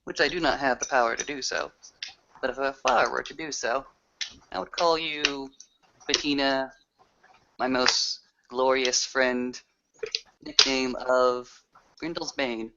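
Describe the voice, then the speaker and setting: A man's voice: medium pitch, fairly even intonation, unhurried, vocal fry, long pauses. A man improvising in character in a tabletop roleplaying game, with formal, grandiose phrasing. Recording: lo-fi with faint noise.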